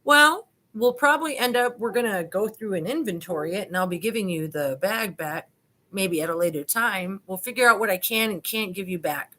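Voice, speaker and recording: A woman's voice: mid-range voice, normal speed, sharp tone. Woman as male detective, patient, probing, hesitant explanatory phrasing, dramatic transcript reading. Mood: questioning.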